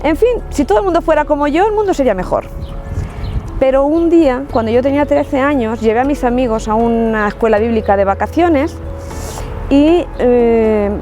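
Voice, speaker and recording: Earnest Spanish woman from La Mancha, mellow. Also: a little high. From Spain, Ciudad Real. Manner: earnest and reflective, with frequent restarts. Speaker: testimony speaker. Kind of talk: personal faith testimony.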